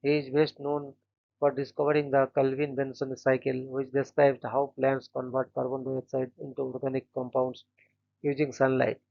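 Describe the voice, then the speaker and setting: A man hosting a daily channel, reading short scripted biographies in a flat, steady way and stumbling now and then on names. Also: medium pitch, moderate pace, vocal fry, lo-fi sound. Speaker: host of a daily events channel.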